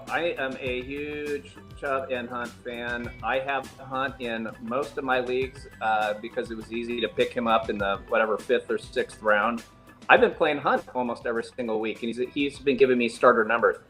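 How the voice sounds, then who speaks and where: Male guest analyst, bright forceful tone, careful advice, faint music behind. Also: medium pitch, steady tone. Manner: measured, cautionary advice. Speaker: guest panelist. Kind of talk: fantasy football analysis.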